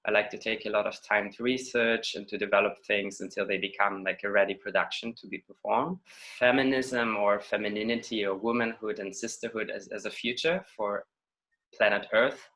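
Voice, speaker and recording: A man's voice: fairly low pitch, steady tone, moderate pace, bright, forceful tone, poor audio. A man from Israel with an Israeli accent, a choreographer and dancer answering interview questions in an introspective way, searching for his words. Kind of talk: reflective interview talk.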